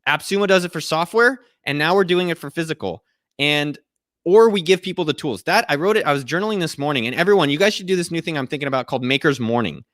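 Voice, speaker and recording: A man in his thirties hosting a casual live Q&A from a small room, giving a profane, energetic pep talk to his viewers. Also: on the high side, no room sound, studio quality. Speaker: host answering viewer questions. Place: small indoor room at home.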